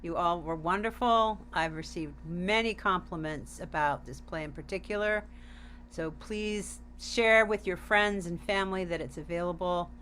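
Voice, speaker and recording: A woman's voice: lowish voice, normal speed, a bit bright. A woman in a casual online discussion, speaking in an upbeat, congratulatory manner. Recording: faint background hum and noise.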